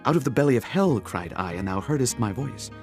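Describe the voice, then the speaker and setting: A man playing a Hebrew prophet, solemn and fervent, in a measured dramatised scripture reading. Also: medium pitch, animated, faint music behind, close to an everyday voice. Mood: anguished prayer.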